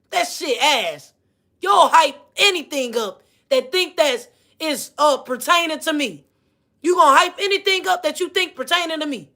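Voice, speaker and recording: A woman's voice: high-pitched, bright, forceful tone. A woman with a Missouri accent, ranting casually to camera, heated and profane, repeating herself. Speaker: vlogger.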